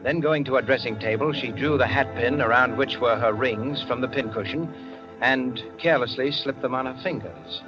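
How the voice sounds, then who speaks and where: A man narrating a scripted 1940s radio drama in a measured, literary, dramatic manner. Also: medium pitch, close to an everyday voice. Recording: muffled 1940s radio recording with music.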